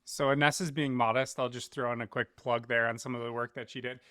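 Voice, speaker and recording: A man with a bright, forceful voice at a middling pitch explains his work as an interview guest. He talks like a startup founder in a conversational business register. The sound is dry and close. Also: level tone, brisk, clean tone, no room sound.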